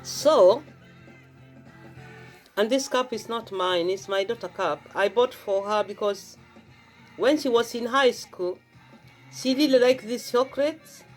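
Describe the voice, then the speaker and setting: A woman's voice: fairly high pitch, takes it slowly, long pauses, soft background music. Kenyan woman in a casual faith talk. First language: Swahili. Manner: repeats phrases, explains herself at length. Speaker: vlogger sharing faith. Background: faint gospel song playing.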